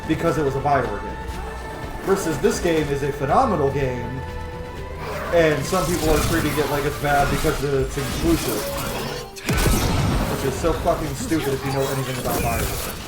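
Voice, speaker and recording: A man with a slightly high voice hosts a let's play, talking casually and bluntly about the game. His speech is informal and opinionated. The room echoes, and game music plays clearly behind him. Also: moderate pace. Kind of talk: casual gameplay commentary. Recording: roomy echo, loud game music behind. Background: game music and sound.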